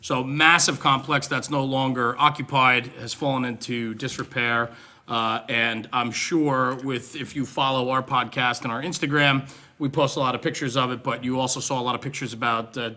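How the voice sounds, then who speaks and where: Very bright, forceful male podcast host. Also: medium pitch, fairly even intonation, normal speed. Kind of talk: casual interview, explaining for listeners.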